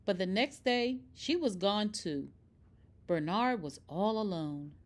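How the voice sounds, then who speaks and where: A woman reading a children's picture book aloud in expressive, scripted narration. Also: mid-range voice, slow. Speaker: storybook reader.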